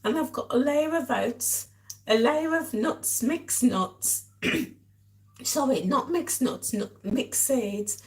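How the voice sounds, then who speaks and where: A woman with a hoarse, croaky voice from a cold, chatting casually to live viewers as a vlog host. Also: sits high, expressive, takes it slowly. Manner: chatty, addresses viewers directly. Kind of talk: casual live-stream chat.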